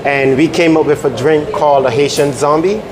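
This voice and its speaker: A middle-aged man from Barbados, a restaurant chef explaining things step by step in an interview, with measured, pausing delivery. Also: a little high, steady tone, background noise.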